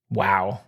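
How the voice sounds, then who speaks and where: A man co-hosting a podcast, chatting excitedly about sports and swearing freely. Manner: excitable, swears, lively swings in pitch. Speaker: podcast co-host. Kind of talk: casual sports chat.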